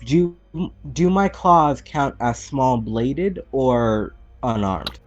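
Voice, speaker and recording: A man's voice: medium pitch, animated, drawn-out words, lo-fi sound, faint music behind. A man chatting casually as a player in a tabletop roleplaying game.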